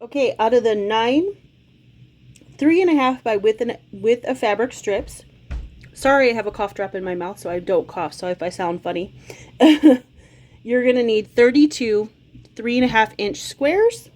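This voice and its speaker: A woman in her forties, a little under the weather with a touch of a cold, talks through quilt cuts in a chatty, friendly way. Her voice sits a bit on the high side, and she guides viewers patiently through each step in a small home sewing room. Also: a little slow, a little mellow. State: slight cold, occasional cough. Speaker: quilting channel host. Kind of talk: casual sewing tutorial.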